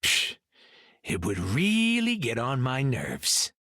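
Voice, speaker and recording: An actor voicing a small, greedy, wisecracking raccoon creature in a video game, with a cheeky streetwise manner. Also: male voice, medium pitch, huge pitch swings, slow, bright, forceful tone, no room sound, clean studio sound, heavily acted. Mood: snarky. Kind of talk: video game character dialogue.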